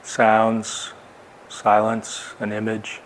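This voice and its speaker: A man's voice: lowish voice, monotone. Man guiding meditation over background noise. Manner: unhurried short phrases with long pauses. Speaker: meditation teacher. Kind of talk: guided meditation instruction. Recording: clear background noise, room ambience.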